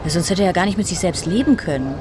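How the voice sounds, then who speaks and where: An actress playing an anxious, hesitant but probing new widow in a German radio crime drama. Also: female voice, on the low side, expressive, rapid-fire, background noise, natural voice. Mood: pensive.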